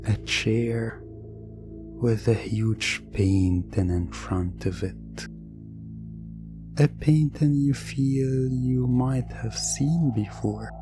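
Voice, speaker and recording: A man delivering scripted hypnosis roleplay narration in an ASMR style, soothing and suggestive, with long pauses. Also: medium pitch.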